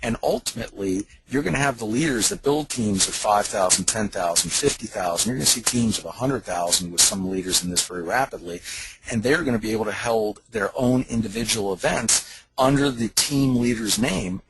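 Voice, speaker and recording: A man making an emphatic, persuasive sales pitch on a conference call, like a company leader selling an opportunity. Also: fairly quick, vocal fry, a bit bright, slight background noise, poor audio.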